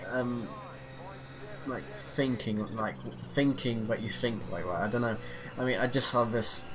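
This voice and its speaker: A man rambling hesitantly with lots of fillers as he drives a racing sim, his nose stuffy from a cold. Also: lowish voice. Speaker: sim racing channel host. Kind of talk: casual rambling commentary while driving a racing sim. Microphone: off-center desk mic. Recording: clear sim engine noise behind. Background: racing game engine noise.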